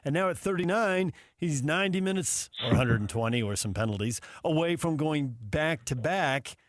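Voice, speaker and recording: A man's voice: a little high, animated, normal speed, dry recording, studio quality. A man hosting a sports radio show, giving unscripted commentary on games.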